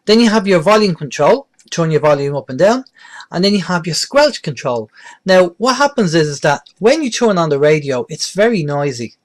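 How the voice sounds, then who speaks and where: A man's voice: a little high, big pitch swings, moderate pace. A middle-aged man presenting an informal, step-by-step beginners' tutorial in a plain-spoken, explanatory way.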